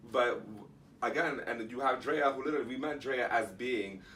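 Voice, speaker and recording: A man co-hosting a casual podcast chat, talking in animated bursts with many restarts. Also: medium pitch, normal speed. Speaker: podcast co-host. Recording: distant mic, faint background noise.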